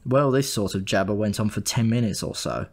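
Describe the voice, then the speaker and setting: A man's voice: fairly low pitch, a little fast. A man reading a comic first-person story as an audiobook narrator, in a wry, dry manner.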